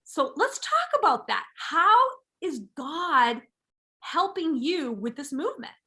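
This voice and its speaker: A woman's voice: sits high, sing-song, slow, sharp tone. A woman in her forties hosting a podcast interview, gushing and enthusiastic, repeating words for emphasis. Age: 40s. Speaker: podcast host. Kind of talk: upbeat interview chat.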